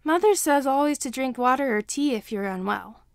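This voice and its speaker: A woman voicing a small, excitable, earnest daycare child in a story. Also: sits high, moderate pace, no room sound, clean studio sound, voice pushed up. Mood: concerned.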